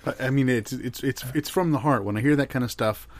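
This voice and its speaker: A man's voice: medium pitch, fairly quick, slight background noise, lightly acted. A man playing an earnest music interviewer in an improvised mock interview, hesitant and repeating his words. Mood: earnest, curious. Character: halting, earnest male radio music interviewer.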